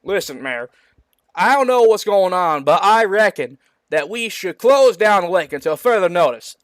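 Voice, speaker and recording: A man's voice: mid-range voice, expressive, normal speed, a bit bright, no echo, pitched below the performer's own voice, lightly acted. A man voicing a frustrated small-town hillbilly sheriff, ranting emphatically as he reads out a comic story. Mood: serious. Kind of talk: hammy reading of a comic story.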